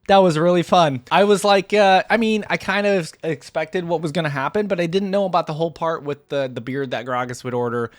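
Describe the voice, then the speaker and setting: A man's voice: a little high, a little fast, few pauses, no echo. A man hosting a reaction video, speaking in an informal, enthusiastic way. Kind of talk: casual reaction commentary and story reading.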